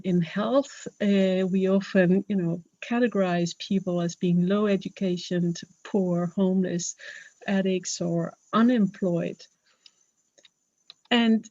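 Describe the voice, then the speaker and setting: A woman's voice: mid-range voice, mellow, no echo, lo-fi sound. A woman from Denmark with a Danish accent, speaking English as a second language in a webinar talk, earnest and hesitant, with many fillers and restarts. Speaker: webinar speaker, health professional.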